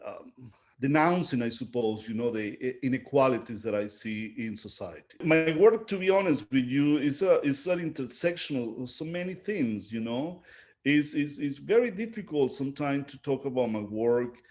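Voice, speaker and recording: Middle-aged male artist, Venezuelan Spanish accent, mid-pitched voice, reflective and halting in unscripted interview talk. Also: expressive, darkish tone. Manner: halting, searching for words. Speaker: visual artist being interviewed.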